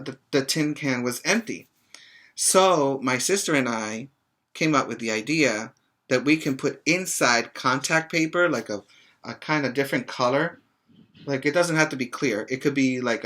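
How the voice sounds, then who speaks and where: A middle-aged man, a preschool teacher, reading and explaining to young children in a simple, expressive way with short asides. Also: medium pitch. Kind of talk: reading a children's book aloud and chatting to young pupils. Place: small room, indoors. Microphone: webcam or laptop mic at arm's length.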